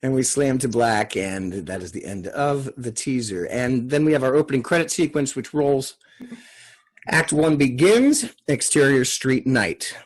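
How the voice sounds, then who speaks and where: Casting: male table-read host and narrator, raspy mid-range voice, casual, plain delivery. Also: mid-range voice, expressive, unhurried. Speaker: host and stage-direction narrator. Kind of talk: scripted screenplay narration and casual introductions.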